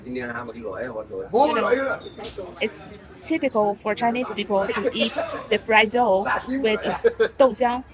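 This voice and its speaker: A woman's voice: mid-range voice, sing-song, slow pace, long pauses. A woman vlogger talks casually and curiously on camera while trying food on a market street. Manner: curious, asks questions. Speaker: travel vlogger. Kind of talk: casual on-camera vlog talk. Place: outdoor market street and cafe. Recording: music and crowd noise.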